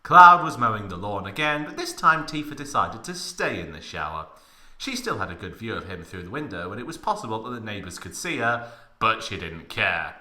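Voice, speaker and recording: Male comic narrator, fairly low but very animated and theatrical, mock-dramatic delivery, roomy echo. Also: brisk. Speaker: host, story reader. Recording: echoey room.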